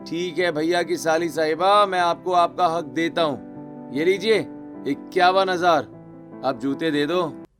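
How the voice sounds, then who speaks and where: Cartoon family story: young teasing brother-in-law played by a man, higher voice, music underneath. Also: fairly even intonation, a little slow, a bit bright. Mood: conceding. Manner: cheeky, playful teasing.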